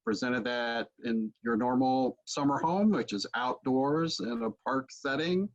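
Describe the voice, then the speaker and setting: A man's voice: medium pitch, a little slow. A man in his forties hosting a casual interview over a video call from his home, picked up by a computer microphone. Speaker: host, interviewer. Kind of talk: casual moderating talk. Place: book-lined home study, video call. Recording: dull, lo-fi video call audio.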